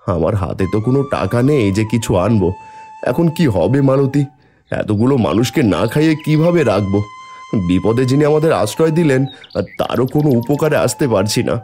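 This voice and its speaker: Man acting an earnest, animated poor village husband. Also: medium pitch, brisk, faint music behind, lightly acted. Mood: distressed. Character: honest, worried poor village husband and father. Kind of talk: cartoon voice acting.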